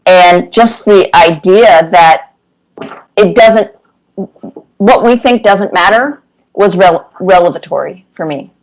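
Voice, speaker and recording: A woman's voice: lowish voice, slow pace, poor audio. A woman in her fifties giving casual, thoughtful interview answers as a guest, with little anecdotes. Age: 50s. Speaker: cartoonist guest being interviewed.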